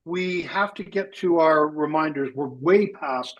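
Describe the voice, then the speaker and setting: A man's voice: fairly high pitch, unhurried, lo-fi sound. A man from Wales, hosting a live astronomy radio show and talking with many fillers and restarts. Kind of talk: live radio science chat.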